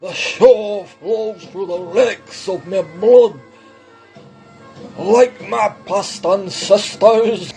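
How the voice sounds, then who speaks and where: A man voicing a crude, melodramatic character in a gravelly, husky comic monologue. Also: sits high, very slow, a little mellow, soft background music, exaggerated voice.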